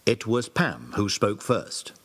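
A man's voice: lowish voice, expressive, takes it slowly, a bit bright. A man reading scripted narration for a children's audio drama. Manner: clear, storytelling.

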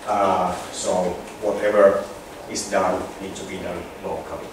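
A man answering an audience question after his talk, measured, with fillers. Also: deep voice, little pitch movement. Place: seminar room. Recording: echoey room from far off, background noise.

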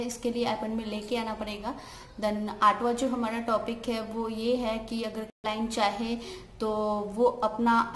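A young woman, a salon owner, talks casually to a close phone camera, pausing often with "uh" fillers and mixing in English words. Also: fairly high pitch. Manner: hesitant, many "uh" fillers, mixes English words. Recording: echoey room, faint background hiss.

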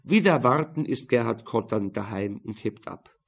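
A man with an Austrian accent narrating a crime novel in a matter-of-fact, slightly husky voice. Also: mid-range voice, expressive, moderate pace. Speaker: audiobook narrator.

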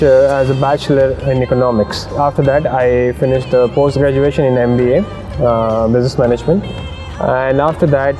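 A young Indian man with an Indian English accent speaking in a documentary interview, relaxed and hesitant with frequent fillers. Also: medium pitch. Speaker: sales manager.

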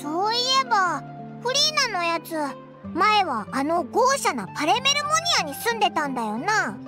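A woman voicing a small, childlike, cheeky fairy companion with a squeaky voice and brash boyish wording in a Japanese anime game. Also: big pitch swings, moderate pace. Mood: curious. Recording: music behind the voice.

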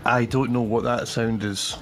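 A man co-hosting casually on a remote call, talking in a halting, grumbling way. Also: mid-range voice, level tone, fairly bright tone, a little hiss or hum. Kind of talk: casual chat.

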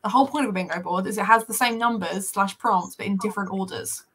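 Wry bright-voiced woman organising friends. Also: medium pitch, fast, a little hiss or hum. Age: 30s. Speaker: bingo board organiser. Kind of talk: casual video-call chat. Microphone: video call.

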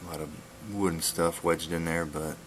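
A man giving a casual, laid-back vlog update in a halting, plain-spoken way, recorded on a handheld camera in a garage. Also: deep voice, flat delivery, slight background noise. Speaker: wood-splitting video blogger. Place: garage, open to outdoors. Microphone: handheld camera's built-in mic.

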